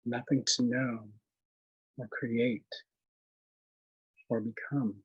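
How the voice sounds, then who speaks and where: A man leading a guided meditation in a gentle, unhurried manner, leaving long pauses between short phrases. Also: mid-range voice, flat delivery, husky, lo-fi sound. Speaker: meditation instructor.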